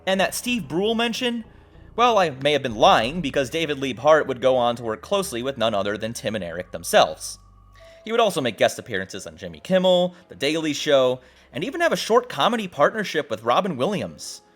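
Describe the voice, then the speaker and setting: A man's voice: medium pitch, sing-song, fairly quick, fairly bright tone. A man narrating a video essay as host in a wry, exclamatory, casual style with some swearing. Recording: music behind the voice. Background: background music.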